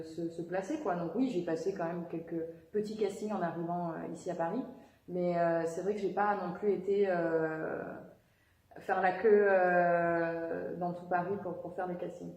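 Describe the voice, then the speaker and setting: A woman's voice: on the low side, fairly even intonation, normal speed, darkish tone. A young woman singer answering interview questions in a casual, hesitant way, with many fillers and restarts. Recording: echoey room.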